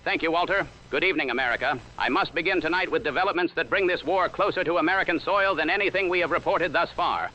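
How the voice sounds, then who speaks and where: Bright-voiced 1930s radio correspondent. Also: male voice, fairly high pitch. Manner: formal, measured, old-time announcer cadence. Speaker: Washington correspondent. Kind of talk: 1930s radio newscast delivery. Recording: lo-fi vintage radio sound with faint hiss.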